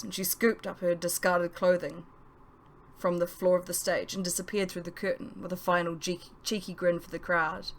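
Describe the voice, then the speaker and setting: A woman reading her own novel aloud as expressive audiobook narration. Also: fairly low pitch.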